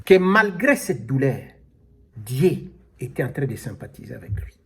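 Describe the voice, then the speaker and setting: A man preaching a sermon, slow and emphatic, calling out alleluia as he explains scripture. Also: mid-range voice, sing-song, breathy edge. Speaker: pastor.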